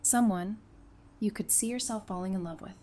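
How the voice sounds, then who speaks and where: A woman's voice: medium pitch, moderate pace, dark tone, lightly acted. An actress playing a candid, caring, perceptive woman who talks casually and frankly in a fan audio drama. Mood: tender, confessional.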